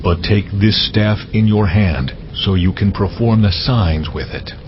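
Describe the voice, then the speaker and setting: An actor voicing a stern, commanding, solemn God in a dramatized scripture audio production. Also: male voice, lowish voice, measured pace, natural voice. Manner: solemn, authoritative, declarative. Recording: muffled, clearly noisy background.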